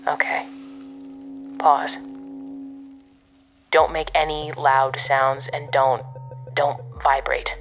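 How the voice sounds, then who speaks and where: A woman's voice: rumbling low, level tone, takes it slowly, long pauses, highs cut off, soft background music, exaggerated voice. An actress playing a brusque, sardonic woman handler in an audio drama, giving blunt, commanding guidance from a distance. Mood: tense, hushed.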